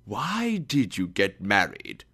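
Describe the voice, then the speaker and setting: A man's voice: on the low side, wildly swooping pitch, slow pace, bright, forceful tone, husky, heavily acted. Storybook role: grumpy, miserly older uncle, curt and contemptuous, performed by a male reader. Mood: curt, dismissive. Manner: curt, scornful, snapping.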